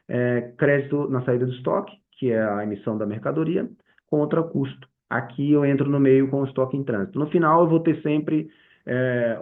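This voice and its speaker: A man with a Brazilian accent giving an informal, explanatory software tutorial as the instructor, talking conversationally and often checking in with short tag questions. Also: medium pitch, narrow, tinny audio.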